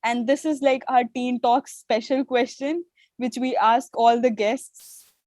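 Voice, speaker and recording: A woman's voice: a little high, steady tone, measured pace, dry recording. A young adult woman whose first language is Hindi, hosting an interview on a video call, affirming and thoughtful with hesitant phrasing. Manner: warm affirming, hesitant phrasing with many fillers. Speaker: host.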